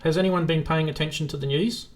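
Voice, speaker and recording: A man's voice: a little high, fairly even intonation, fast. A man hosting a live stream in a casual, informal chat, thinking aloud as he goes.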